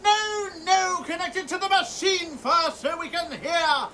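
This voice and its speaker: Mad scientist in a radio drama, really high frenzied voice, bossy and obsessed, noisy storm behind. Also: male voice, unhurried, sharp tone, far from the mic, exaggerated voice. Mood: frantic, impatient. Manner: imperious, feverish, barking repeated commands. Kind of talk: theatrical radio play acting.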